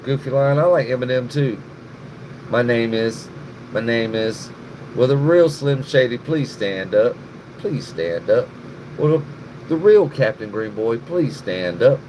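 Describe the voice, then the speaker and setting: A middle-aged man hosting a casual livestream, rambling and cursing freely as he answers viewers in the chat by name. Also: mid-range voice. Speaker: livestream host. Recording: noticeable background noise, air conditioning hum.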